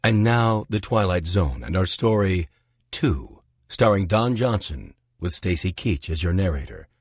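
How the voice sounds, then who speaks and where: A deep-voiced man gives a dramatic programme announcement. His voice is husky and swings widely in pitch, recorded dry over faint music. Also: big pitch swings, measured pace, dry recording.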